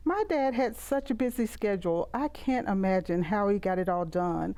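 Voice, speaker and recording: Mellow middle-aged Southern US woman poet. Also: medium pitch, moderate pace. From Mississippi, United States. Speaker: poet and author reading own poems. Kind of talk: poetry recital with spoken introductions.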